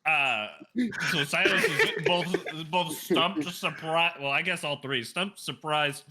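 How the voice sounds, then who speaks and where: Bright-voiced man running a tabletop game. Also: a little high, slow. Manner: loose, laughing, filler-heavy narration. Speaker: game master. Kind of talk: narrating a live-streamed tabletop game.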